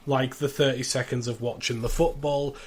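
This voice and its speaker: A man in a casual podcast chat, explaining his view in a measured, considered way. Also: medium pitch, fairly even intonation. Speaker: podcast host.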